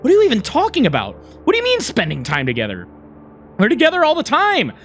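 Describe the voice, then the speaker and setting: A man's voice: sits high, big pitch swings, moderate pace, faint music behind. A man playing a bitter, insecure young man in a dramatic story, speaking with intense emotion. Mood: indignant. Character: bitter, insecure young human man, angry and self-loathing.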